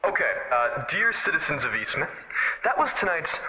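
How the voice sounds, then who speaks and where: A man's voice: a little high, big pitch swings, moderate pace, pitched above the performer's own voice, rough recording. An actor playing a theatrical young late-night radio host, talking in a showman's patter in an audio drama. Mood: baffled.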